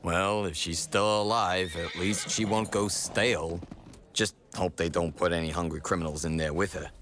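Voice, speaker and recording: A man's voice: low-pitched, fairly even intonation, normal speed, a bit bright, a little hiss or hum. A man playing a skeptical, easygoing sidekick in an audio drama, with a wry, joking delivery.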